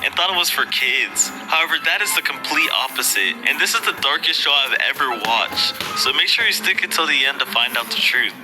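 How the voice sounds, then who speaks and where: Male video narrator, slightly high voice, earnest warnings to viewers, music behind. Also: lively intonation, fairly quick, hardly any pauses, low-quality recording. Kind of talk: scripted countdown narration addressed to viewers.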